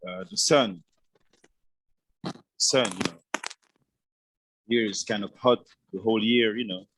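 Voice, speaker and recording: Low-voiced man with a French accent. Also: a bit bright, a little hiss or hum, poor audio. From Switzerland. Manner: halting, repeats "you know" constantly. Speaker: interview guest, businessman. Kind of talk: casual conversational interview answers.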